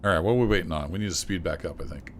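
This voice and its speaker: A man streaming a city-building game, chatting casually and thinking aloud as he plays, his voice a little rough. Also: deep voice, very fast, a little hiss or hum. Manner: relaxed, thinking aloud with fillers and restarts. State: slightly rough voice. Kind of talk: casual gameplay commentary.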